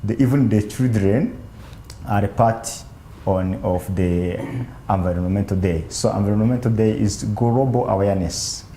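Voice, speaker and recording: Deep-voiced man guest, hesitant and emphatic, roomy sound. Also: warm tone, slight background noise. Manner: hesitant, many fillers, slow emphatic phrases. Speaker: panel guest. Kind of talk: panel discussion answer.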